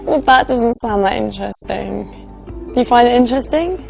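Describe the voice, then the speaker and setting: A woman's voice: a little high, normal speed, vocal fry, a little mellow, soft background music. An actress playing a friendly young woman on a casual date, giving brief, agreeable replies. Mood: curious, unimpressed. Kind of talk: acted casual date chat.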